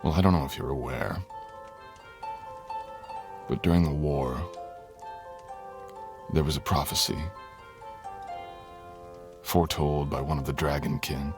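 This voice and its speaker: A man's voice: rumbling low, fairly even intonation, a little slow, pauses often. A man playing a courtly, teasing, tender dragon king in human form, speaking intimately as a lover in an ASMR roleplay. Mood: candid, wry. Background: music.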